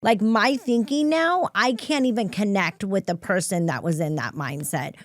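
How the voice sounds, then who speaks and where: A woman's voice: medium pitch, moderate pace. A woman hosting an interview podcast, affirming her guest and sharing her own experience as she asks questions. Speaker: podcast host. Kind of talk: conversational interview.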